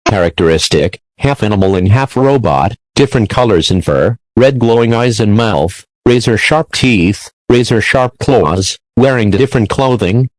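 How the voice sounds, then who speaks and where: A man's voice: lowish voice, no echo, close to an everyday voice, low-quality recording. A man playing a TV news anchor who reads a breaking-news bulletin in a stiff, formal way. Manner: stiff, formal announcing with clumsy phrasing.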